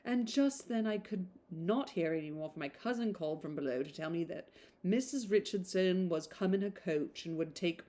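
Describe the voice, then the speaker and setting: A woman's voice: fairly low pitch, animated, moderate pace, dark tone, close to an everyday voice. A woman voicing a gossipy, affected young woman from a period novel, chattering in exclamatory run-on sentences. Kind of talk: novel read aloud.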